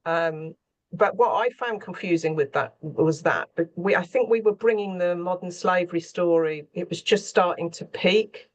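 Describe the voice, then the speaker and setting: A woman's voice: lowish voice, moderate pace, muffled recording. A woman speaking off the cuff as a scriptwriter in a panel conversation, often searching for her words.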